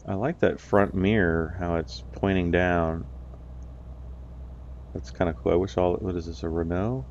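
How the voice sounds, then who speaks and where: A man's voice: deep voice, steady tone, unhurried, darkish tone, highs cut off, slight background noise. A man from the US, stuffy and nasal from a cold, chatting in a rambling way with lots of ums as he plays a driving game. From United States. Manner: rambling, with many ums and uhs. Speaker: let's play host. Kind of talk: casual gameplay commentary.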